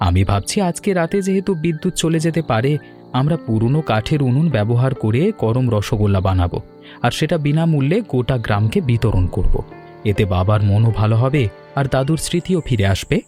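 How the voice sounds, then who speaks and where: A man playing an earnest, secretive young son with fond memories and plans, in an animated story. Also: mid-range voice, fairly quick, faint music behind, lightly acted. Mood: nostalgic, hopeful.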